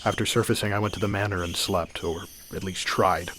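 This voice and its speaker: A man's voice: sits low, steady tone, a little fast, a bit bright, a little hiss or hum. A man playing a stern, secretive vigilante father in an amateur superhero radio drama, stubborn and protective. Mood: grave, recounting. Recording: music bed behind the voice.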